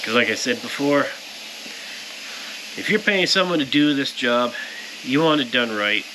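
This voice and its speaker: A man's voice: mid-range voice, animated, unhurried. A plain-spoken man giving a casual, explanatory hands-on workshop narration to viewers. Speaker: powder coater presenting his shop's work. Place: powder coating workshop. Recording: clear background shop noise.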